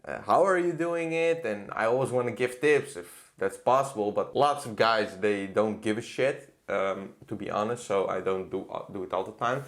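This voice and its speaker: A man's voice: on the low side, moderate pace, few pauses. A man who works as a fitness coach, reacting to a clip in a blunt, profane rant. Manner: blunt, profane, ranting with laughs. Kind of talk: casual reaction commentary.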